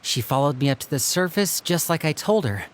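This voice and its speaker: A performer playing a calm, earnest youth in a video game, measured and telling things like a story. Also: mid-range voice, slight background noise, close to an everyday voice. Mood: concerned.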